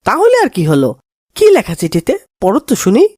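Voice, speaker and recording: A woman voicing a timid, excitable young village boy in a children's cartoon, full of anxious, wide-eyed questions. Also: fairly high pitch, normal speed, dry recording, studio quality. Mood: excited, curious.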